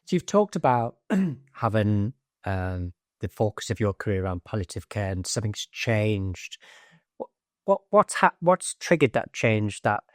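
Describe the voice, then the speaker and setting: A man's voice: lowish voice, dry recording, studio quality. A man from the United Kingdom hosting an interview podcast, talking in a conversational way with fillers and restarts. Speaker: podcast host.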